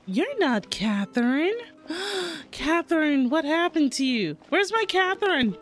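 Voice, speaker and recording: A woman's voice: sits high, sing-song, slow pace. A woman streaming a game, chatting playfully over the dialogue. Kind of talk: casual let's-play commentary.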